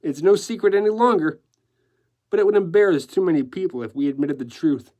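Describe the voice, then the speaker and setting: A man's voice: a little high, brisk, warm tone, voice pushed up, natural voice. A man voicing a sly, gleeful, theatrical male decorator in a story, gushing and affectedly dropping French phrases. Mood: amused.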